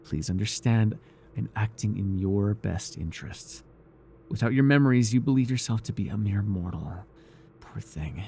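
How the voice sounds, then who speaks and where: A man playing a devoted, obsessive cult servant and captor in an intimate ASMR roleplay, speaking reverently and haltingly, with sighs and stammers. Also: fairly low pitch, expressive, vocal fry, dark tone, slight background noise. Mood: coaxing, apologetic.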